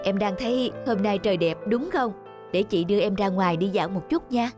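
An actress playing a caring young elder sister in a cartoon drama, speaking in a gentle, earnest, consoling way. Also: female voice, medium pitch, fairly quick, close to an everyday voice. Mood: coaxing. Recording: prominent background music.

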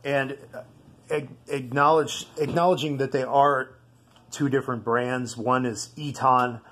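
A man giving a casual product demonstration in a halting, rambling, easygoing way. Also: mid-range voice, fairly even intonation, fairly bright tone. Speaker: video host.

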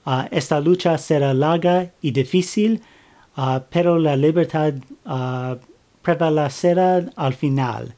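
A man speaking Spanish as a second language, giving a step-by-step craft tutorial, with many fillers, restarts and pauses as he searches for words. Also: a little high, mellow. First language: not Spanish. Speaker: flag-craft tutorial maker. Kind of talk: halting how-to narration.

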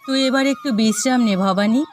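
A woman playing a soothing, soft-hearted middle-aged village mother in a cartoon, speaking reassuringly. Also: fairly high pitch, brisk, faint music behind, lightly acted. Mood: tender. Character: gentle, soothing village mother of a grown son. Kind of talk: Bengali moral-story cartoon dubbing.

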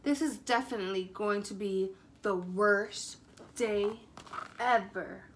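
A woman's voice: medium pitch, takes it slowly, a little hiss or hum, pitched below the performer's own voice, natural voice. A woman voicing a gloomy, reluctant young male cat in a storybook, grumbling and complaining dramatically. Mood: unimpressed.

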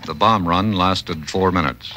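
A man's voice: deep voice, unhurried, pushed and bright, a little hiss or hum. A man giving solemn scripted documentary narration on an old archival recording.

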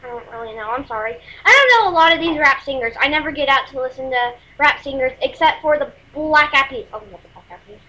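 A woman's voice: sits high, lively intonation, fairly quick, few pauses, bright, forceful tone, poor audio. A teenage girl from Texas with a Texas accent, rambling and apologetic through a casual webcam music review at home. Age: teens. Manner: rambling, apologetic, many fillers. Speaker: music vlogger. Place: small room at home, indoors. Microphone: webcam built-in mic.

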